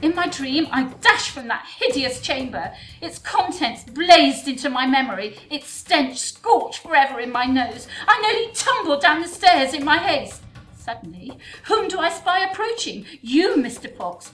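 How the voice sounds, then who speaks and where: A woman playing a bold young gentlewoman in a folk tale, speaking in formal, theatrical, old-fashioned diction. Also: high voice, very animated, unhurried, exaggerated voice, rough recording. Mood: horrified, accusing. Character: bold young gentlewoman bride recounting a sinister dream.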